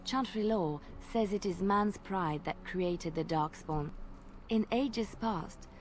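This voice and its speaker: A woman voicing a graceful female bard in a video game, telling tales in a measured, lilting way. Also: mid-range voice, slight background noise, natural voice. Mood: storytelling. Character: graceful female bard, gentle devout storyteller. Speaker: game character. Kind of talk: voiced game dialogue.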